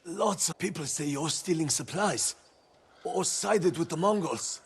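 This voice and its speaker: A wary, accusing commoner in video game dialogue. His voice is extremely husky and raspy, pitched somewhat high. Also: measured pace, heavily acted. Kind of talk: dramatic video game voice acting.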